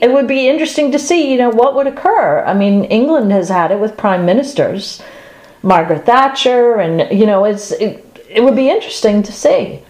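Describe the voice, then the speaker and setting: A woman's voice: fairly high pitch, a little mellow. A woman from Boston co-hosting a podcast in casual chat. She agrees often and talks with many fillers and restarts. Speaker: podcast co-host. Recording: echoey room.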